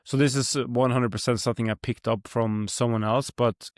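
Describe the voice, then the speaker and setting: Flat-voiced Norwegian man chatting. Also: medium pitch, a little fast, dry recording, clean studio sound. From Norway. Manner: reflective, rambling, many fillers and restarts. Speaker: podcast host. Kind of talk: casual podcast conversation.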